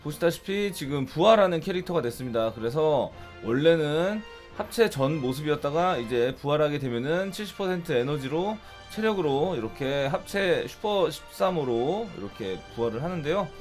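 A man with a hoarse, cracking, sore-throated voice giving casual commentary as the host of a gaming video. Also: a little high, animated, moderate pace, few pauses. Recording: loud music behind the voice. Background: loud music.

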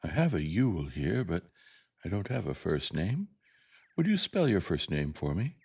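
A man's voice: deep voice, sing-song, moderate pace, vocal fry, darkish tone, breathy edge, full character voice. A man voicing a prim, easily shaken young woman schoolteacher in an audiobook story, speaking politely and properly. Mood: soft, composed.

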